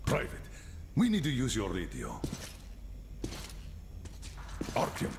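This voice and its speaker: A man playing a gruff, commanding middle-aged colonel of a special task force in a video game. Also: on the low side, huge pitch swings, moderate pace, heavily acted. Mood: businesslike. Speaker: military commander. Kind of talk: video game character acting. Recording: echoey game scene with loud noise.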